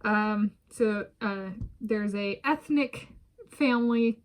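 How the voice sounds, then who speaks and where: An American woman talks casually into a camera she is filming herself with, her pitch sitting right in the middle. She rambles and restarts often, breaking off with soft laughs as she goes. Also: slow. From United States. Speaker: vlogger. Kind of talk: casual solo talk to camera. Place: home in a residential area. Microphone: self-filmed camera.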